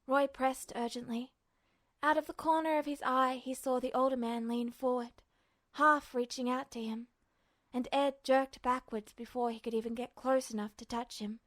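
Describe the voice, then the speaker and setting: A woman's voice: high-pitched, fairly even intonation, moderate pace. A woman reading fiction aloud as a dramatic, scripted audiobook narration. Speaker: fan fiction podfic reader.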